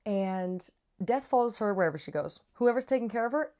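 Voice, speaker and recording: A woman's voice: mid-range voice, expressive, a little fast, a little mellow. A woman giving a casual, chatty book review with slangy asides and playful, dramatic emphasis. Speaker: book reviewer, vlog host.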